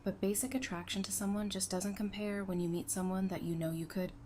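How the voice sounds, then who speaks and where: A woman's voice: medium pitch, steady tone, a little fast, warm tone, natural voice. An actress playing a candid, caring, perceptive woman who talks casually and frankly in a fan audio drama. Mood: tender, confessional.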